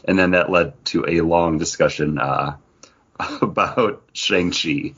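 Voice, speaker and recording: Low husky-voiced man chatting on a podcast. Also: highs cut off. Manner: animated, joking, quick asides. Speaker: podcast host. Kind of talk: casual podcast chat.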